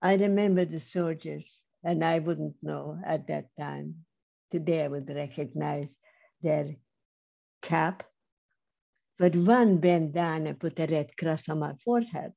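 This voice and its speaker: Slow, gravelly older woman. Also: deep voice, steady tone, mellow. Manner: measured, emphatic, pausing. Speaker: Holocaust survivor speaker. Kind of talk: slow personal testimony talk. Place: home room on video call. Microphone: webcam mic on video call. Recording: slightly dull lo-fi video call audio.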